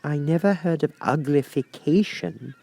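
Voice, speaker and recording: A man's voice: fairly high pitch, unhurried, vocal fry, warm tone, voice pushed up. A man voicing a curious young girl in a storybook reading, prim and questioning, polite but easily indignant. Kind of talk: storybook character voice.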